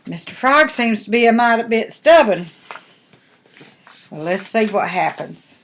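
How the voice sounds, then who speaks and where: A woman's voice: fairly high pitch, lively intonation, a little slow. An older woman, a grandmother, reading a storybook aloud with folksy, chatty asides and questions to the listener.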